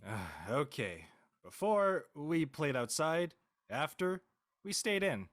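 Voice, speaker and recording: A man playing a teasing, laid-back middle-aged dad with a deadpan delivery in an audio drama. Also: a little high, takes it slowly, exaggerated voice.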